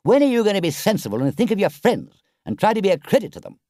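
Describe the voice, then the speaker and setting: A man's voice: medium pitch, wildly swooping pitch, normal speed, darkish tone, no echo, clean studio sound, pitched above the performer's own voice. A man voicing a sensible, loyal water rat from a storybook, with a firm, emphatic, scolding manner. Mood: exasperated, reproachful.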